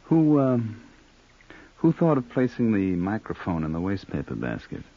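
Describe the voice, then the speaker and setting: A man's voice: on the low side, big pitch swings, normal speed. An actor playing a cool, menacing hired gunman in 1940s radio style, smug, sly and mockingly polite. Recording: dull lo-fi old radio recording.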